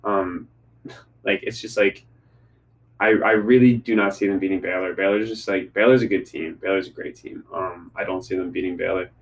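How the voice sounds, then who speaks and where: Man, lowish voice, halting, filler-heavy, laughing often. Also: steady tone. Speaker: panelist. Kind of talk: casual sports chat.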